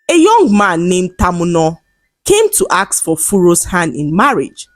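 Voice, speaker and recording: Slow, breathy woman telling a folktale. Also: mid-range voice, dry recording. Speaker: storyteller. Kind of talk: scripted folktale narration.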